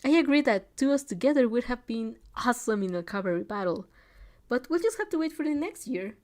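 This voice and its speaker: A woman's voice: fairly high pitch, expressive, brisk, a little mellow, natural voice. A woman reading a story aloud, voicing a bubbly, fiercely supportive teenage girl in an exuberant, emphatic, teasing way. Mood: sincere. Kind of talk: character dialogue in amateur story reading.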